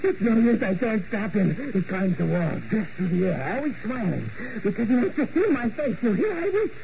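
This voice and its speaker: An actor playing a tormented young male dancer sliding into madness, anguished and halting, in a 1940s radio drama. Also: high-pitched, sing-song, vocal fry, dark tone, heavily acted. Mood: frantic. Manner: anguished, halting, repeats words. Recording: dull old radio recording with faint hiss.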